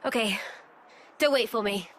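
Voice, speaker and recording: An actress playing a gentle, guarded young woman soldier in a video game cutscene, speaking softly and in a measured way. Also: on the high side, close to an everyday voice. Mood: evasive, subdued.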